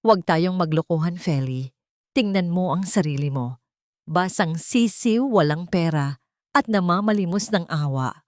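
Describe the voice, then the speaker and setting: A cold and haughty rich woman of audio drama, played by a female narrator. She talks down with mocking contempt in a lowish voice, recorded dry. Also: unhurried, a little mellow, dry recording, natural voice. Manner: mocking, condescending.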